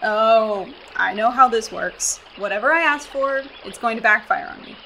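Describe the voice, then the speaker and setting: Young woman, American accent, sarcastic, incredulous, fairly high pitch, radio drama, background ambience. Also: normal speed, bright, forceful tone, a little hiss or hum, low-quality recording. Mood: wry. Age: young adult. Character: sarcastic, exasperated young human woman hiker. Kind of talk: radio play acting.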